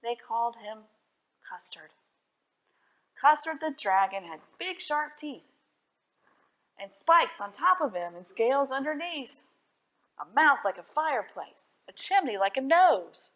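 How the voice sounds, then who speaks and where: A woman reading children's stories and poems at a library storytime, expressive and putting questions to her young listeners. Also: sits high, measured pace, long pauses.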